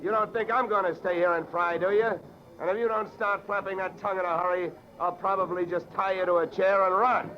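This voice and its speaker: Actor as hardboiled radio detective, dull vintage sound. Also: male voice, high-pitched, fairly even intonation, fairly quick, slight background noise, lightly acted. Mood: tough, threatening. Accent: American. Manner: clipped, sardonic, pushy. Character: hardboiled, wisecracking male freelance insurance investigator. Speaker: lead actor and first-person narrator. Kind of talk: 1950s American radio detective drama acting. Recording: vintage radio recording, music behind.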